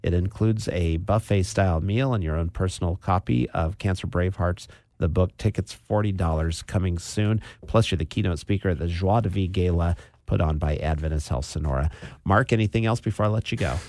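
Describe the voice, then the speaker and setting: A man hosting a local interview, friendly and brisk as he lays out event details. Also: low voice, a little mellow, no room sound, studio quality. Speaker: interview host.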